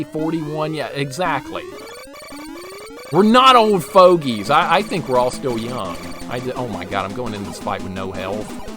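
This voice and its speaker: A man in his forties chatting casually with his viewers while playing a retro game, laughing easily and stumbling over repeated words. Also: mid-range voice. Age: 40s. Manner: chatty, self-deprecating, quick laughs, repeats words when excited. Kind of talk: casual live-stream chat while playing. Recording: game music playing underneath. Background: game music.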